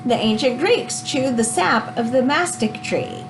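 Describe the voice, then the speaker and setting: A woman reads a children's book aloud in an expressive, teacherly way, adding explanatory asides as she goes. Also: fairly high pitch, measured pace, music in the background.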